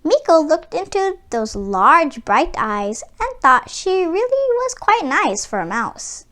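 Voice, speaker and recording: A woman reading a children's book aloud as expressive storybook narration. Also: high voice, slow.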